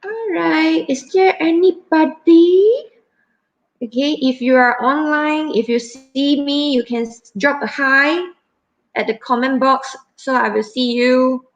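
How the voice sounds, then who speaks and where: A woman's voice: sits high, highs cut off. Chatty Malaysian woman hosting a live stream. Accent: Malaysian English. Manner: chatty, halting, many fillers and restarts. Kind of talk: casual live stream chat.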